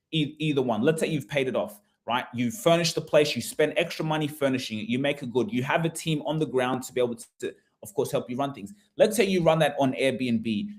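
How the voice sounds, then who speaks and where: A man presenting an informal live webinar, conversational and repetitive, often putting rhetorical questions to listeners. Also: on the high side. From Australia. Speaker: webinar host, property investment advisor.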